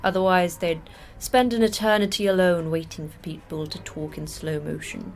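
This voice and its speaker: A woman's voice: fairly low pitch, fairly even intonation, moderate pace, faint music behind, lightly acted. A woman playing a jaded, terse station manager in an audio drama, dry and matter-of-fact. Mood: deadpan. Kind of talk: audio fiction podcast acting.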